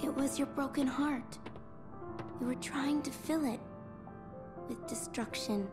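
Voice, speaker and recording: An actress playing a gentle, resolute young heroine in a video game, sincere and pleading. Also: female voice, high voice, unhurried, loud music behind the voice. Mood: compassionate. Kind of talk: video game drama acting.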